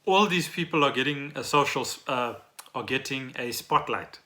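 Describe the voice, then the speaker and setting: A man's voice: medium pitch, animated, moderate pace, sharp tone. A South African man co-hosting a podcast, explaining trending news in an informal, chatty way. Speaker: podcast co-host. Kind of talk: casual chat about trending news.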